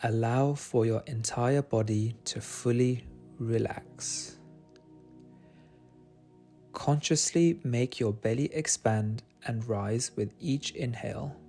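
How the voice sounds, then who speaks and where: A man guiding a meditation in a soothing, gentle and unhurried manner. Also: medium pitch, vocal fry. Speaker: meditation guide. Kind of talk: guided meditation narration. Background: soft meditation music.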